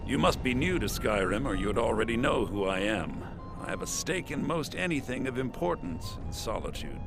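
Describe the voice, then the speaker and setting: A man's voice: medium pitch, creaky, pushed and bright, exaggerated voice. A man playing a smug, lecherous wealthy merchant in a video game, self-important and oily in manner.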